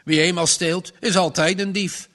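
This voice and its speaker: A man reading aloud, voicing a gruff, distrustful old farmer who growls and scolds. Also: sits high, fairly even intonation, moderate pace, a bit bright, pitched above the performer's own voice. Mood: indignant.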